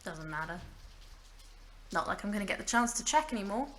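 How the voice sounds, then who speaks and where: Bright-voiced, wry, stranded mage played by a woman. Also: medium pitch, expressive, a little fast, close to an everyday voice. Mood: bitter, distrustful. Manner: rambling, self-mocking asides, sighs. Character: stranded female mage, centuries alone, wry, bitter, fragile. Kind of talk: audio drama monologue, naturalistic acting.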